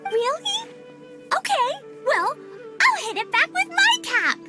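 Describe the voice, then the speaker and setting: A woman voicing a sweet, naive, bubbly little girl knight in an animated video game. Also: extremely high pitch, slow, full character voice. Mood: eager, proud. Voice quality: small childlike voice. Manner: naive, eager. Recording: loud music behind.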